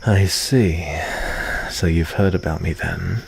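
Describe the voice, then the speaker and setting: Guy doing an asmr vampire, super deep and slow, calm n lonely, dry teasing, kinda formal. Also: vocal fry, slight background noise, full character voice, low-quality recording. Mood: wary, warning. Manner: unhurried, soft-spoken formal phrasing with dry teasing. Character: calm, lonely, guarded male vampire living in the forest. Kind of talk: ASMR roleplay acting. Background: cricket ambience.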